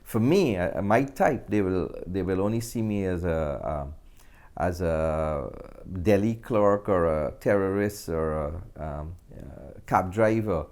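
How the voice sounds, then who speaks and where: A man's voice: deep voice, animated, a little slow, darkish tone. A middle-aged man with a Guyanese accent, answering reflectively in a conversational interview. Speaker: interview guest, actor and filmmaker.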